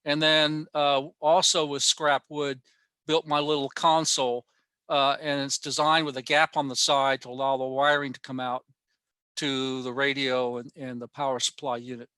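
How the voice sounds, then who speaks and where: Bright-voiced man giving a halting technical talk. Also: mid-range voice, little pitch movement, clean tone, dry recording. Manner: halting, with frequent mid-sentence pauses. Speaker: amateur radio club presenter. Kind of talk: informal technical presentation. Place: online workshop talk.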